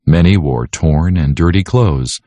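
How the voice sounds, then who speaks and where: A man's voice: sits low, expressive, measured pace, mellow, dry recording, clean studio sound. A man reading a children's story aloud as a scripted narration. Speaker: audiobook narrator.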